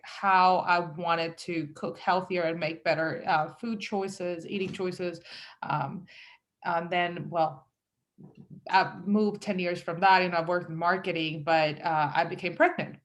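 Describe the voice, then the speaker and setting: A woman's voice: on the low side, fairly even intonation, a little slow. A woman in her thirties from El Salvador, a guest talking casually about her business with lots of fillers. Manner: chatty, with frequent fillers and self-quotes. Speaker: guest entrepreneur. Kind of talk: casual conversational interview.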